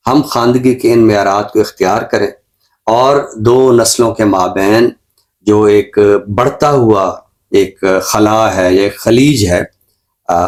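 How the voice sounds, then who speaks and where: A middle-aged Pakistani man, a professor and poet, speaking in a measured, professorial way and repeating phrases for emphasis on a literary show. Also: on the low side, a little mellow. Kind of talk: reflective literary talk and poetry recitation.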